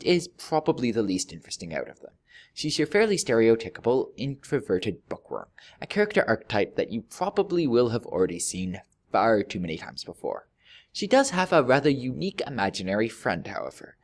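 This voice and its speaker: Wry male reviewer with swooping, lively intonation. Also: mid-range voice. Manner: wry, deadpan joking with emphatic pauses. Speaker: video reviewer. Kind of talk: scripted review narration.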